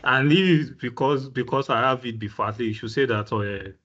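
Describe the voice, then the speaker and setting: A man's voice: mid-range voice, fairly quick, fairly bright tone, muffled recording, slight background noise. A male student in a live online class who speaks politely and apologetically, calling the teacher sir.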